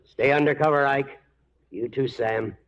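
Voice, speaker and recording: Drawling old outlaw patriarch played by an actor. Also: male voice, mid-range voice, fairly even intonation, measured pace, close to an everyday voice. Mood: cold menace. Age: older. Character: murderous old outlaw patriarch, cold and commanding. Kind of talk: 1940s western radio drama acting. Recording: dull 1940s radio broadcast recording.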